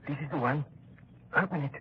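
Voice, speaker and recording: Bossy, scolding male French jailer, played by a man, French accent, fairly high voice, 1930s radio drama, faint noise. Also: fairly quick, lightly acted. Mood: firm, brusque. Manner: scolding, brusque. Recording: dull, noisy 1930s radio recording.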